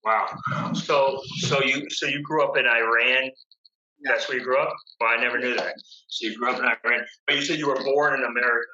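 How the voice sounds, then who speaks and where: A man's voice: mid-range voice, steady tone, brisk, pushed and bright. A man hosting a podcast interview, asking curious follow-up questions and often repeating his openings. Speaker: podcast host. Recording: echoey room, dull lo-fi sound.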